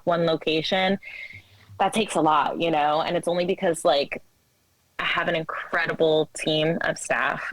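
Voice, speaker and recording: Lower-pitched young woman, candid and halting. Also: dry recording, poor audio. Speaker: interview guest, coffee shop manager. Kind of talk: casual conversational interview talk.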